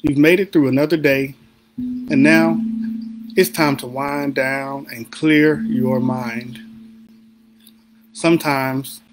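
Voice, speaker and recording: A man guiding a sleep meditation in a soothing, deliberate manner with unhurried pauses. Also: mid-range voice, steady tone, background noise. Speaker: meditation guide. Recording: background music under the voice. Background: music.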